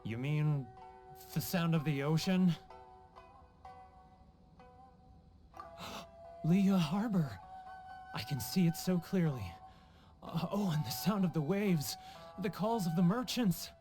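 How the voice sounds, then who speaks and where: A man's voice: on the high side, measured pace, mellow, soft background music, close to an everyday voice. An actor playing a homesick, guilt-ridden male merchant in a video game, theatrical and sighing, self-pitying in manner. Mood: wistful, nostalgic. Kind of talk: video game character acting.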